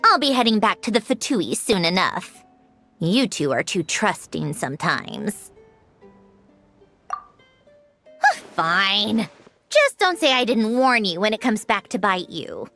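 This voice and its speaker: A woman playing a proud, aloof, sharp-tongued young genius in a video game, with a dry, lecturing manner. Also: mid-range voice, very animated, measured pace, heavily acted. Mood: wry, grudging. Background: background music.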